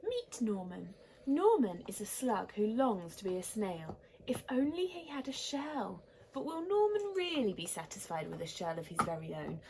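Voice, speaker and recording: A woman teacher reading a picture book aloud to children, animated and expressive with soft whispered asides. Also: medium pitch, moderate pace, noisy background. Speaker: teacher reading a bedtime story.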